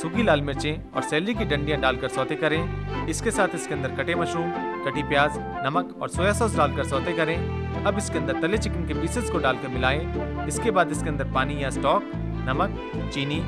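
Male recipe narrator over loud music. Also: on the high side, moderate pace. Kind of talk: scripted instructional voice-over.

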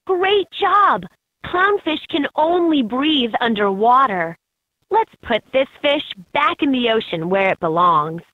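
Lively, unhurried woman narrating a kids' game. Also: sits high, dry recording. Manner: clear, expressive, instructive delivery for children. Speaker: narrator of a children's learning game. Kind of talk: scripted educational game narration. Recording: slightly dull, lo-fi game audio.

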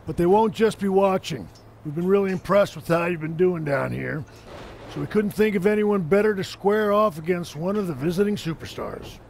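A man's voice: sits high, moderate pace, creaky, a little mellow, a little hiss or hum. An actor playing a middle-aged male wrestling coach who speaks in an encouraging, businesslike way.

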